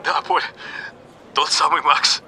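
A man's voice: fairly even intonation, takes it slowly, gravelly, over loud music. A man voicing a hysterical, bitter, foul-mouthed man at breaking point in a dramatized audiobook. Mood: bitter, mocking. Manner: ranting, high-strung, swearing.

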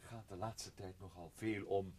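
An actor in a radio play voicing an ingratiating, meddling gentleman neighbour who wheedles and repeats himself with fussy politeness. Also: low voice, fairly even intonation, measured pace, a little hiss or hum.

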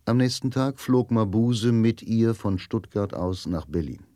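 A man's voice: on the low side, expressive, unhurried, mellow, dry recording, clean studio sound. A man narrating a crime story in a radio drama, with sober, scripted literary delivery. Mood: sober, lyrical. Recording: music behind the voice.

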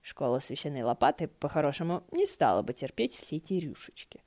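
Женщина выразительно читает художественную прозу как рассказчица аудиокниги. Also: female voice, low voice, big pitch swings, a little fast, warm tone. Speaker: audiobook narrator. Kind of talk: scripted fiction narration.

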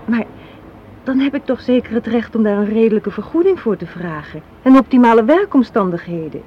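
A woman's voice: a little high, lively intonation, a little fast, husky. An actress in a radio play playing an assertive, cynical young woman, arguing in an articulate, sardonic way. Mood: indignant, sarcastic. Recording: muffled, hissy old radio play recording.

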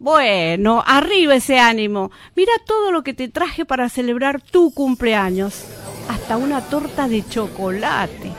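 A woman's voice: fairly high pitch, expressive, a little slow. A woman with an Argentine accent acting as a cheery, fussing adult daughter in a radio drama, effusive and coaxing. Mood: coaxing, upbeat.

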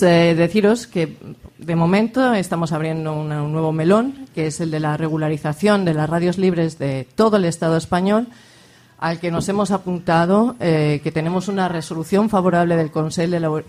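Halting, low-pitched woman presenting. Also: darkish tone. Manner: halting, searching for words. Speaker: presenter at a book launch. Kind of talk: informal public speech, introducing a book.

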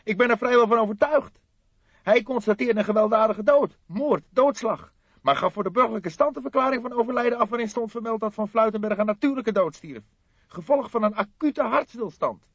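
A man's voice: very high voice, brisk, a bit bright, no room sound, lo-fi sound, voice pushed up, heavily acted. A man voicing a hot-headed younger male detective in an audiobook, speaking in heated, indignant, emphatic bursts. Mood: indignant, insistent. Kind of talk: audiobook character dialogue.

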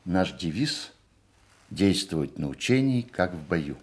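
An actor playing an earnest military political officer who speaks formally and declaims, in a Soviet radio play. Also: male voice, low voice, very animated, unhurried. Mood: solemn.

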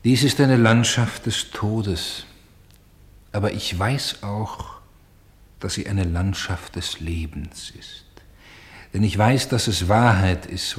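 An actor playing a grave, sorrowful storyteller in a radio play, with solemn and deliberate phrasing. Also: male voice, low voice. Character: grave, sorrowful male storyteller bearing witness to tragedy.

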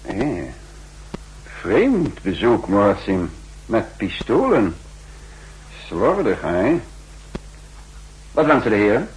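Shrewd spymaster for vintage radio play: low, very husky, clipped and ironic delivery. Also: male voice, sing-song, takes it slowly, pauses often, heavily acted, rough recording. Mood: wary, ironic. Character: shrewd, sardonic male counter-espionage chief, narrating his story. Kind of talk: 1960s Dutch radio drama acting, dramatic narration.

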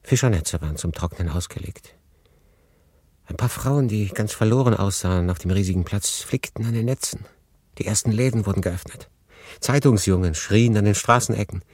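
Deep, husky, sombre refugee storyteller. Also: male voice, a little mellow, no room sound, studio quality. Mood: reflective. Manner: sombre, measured, deliberate pauses. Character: melancholy male refugee, resigned, recounting his story. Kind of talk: literary radio play, first-person narration and dialogue.

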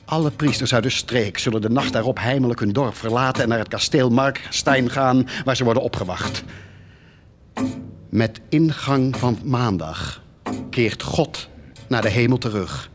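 An actor in a Dutch radio drama playing a driven, scheming war leader with an intense, rhetorical delivery. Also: male voice, mid-range voice, unhurried, a little hiss or hum, close to an everyday voice. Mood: scheming, forceful. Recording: music behind the voice.

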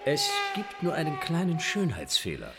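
An actor in a radio play voicing a sinister, calculating plotter with a dramatic delivery. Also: male voice, on the high side, huge pitch swings, normal speed, vocal fry, crowd noise, heavily acted. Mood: cold, scheming. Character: scheming, sinister male plotter of staged miracles.

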